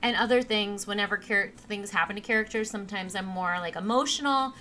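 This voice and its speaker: A young woman with a naturally monotone voice, casually narrating her gameplay with plenty of ums and restarts. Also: medium pitch, a little fast. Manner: rambling, with many ums and restarts. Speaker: let's play host. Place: home computer setup. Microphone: desk mic replacing a broken headset.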